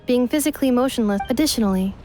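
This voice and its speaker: A woman's voice: a little high, rapid-fire, mellow, faint music behind, close to an everyday voice. A woman voicing a composed, literal-minded robot girl in an anime game, speaking in a formal, clinical way. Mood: detached, composed. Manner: clinical, formal, matter-of-fact.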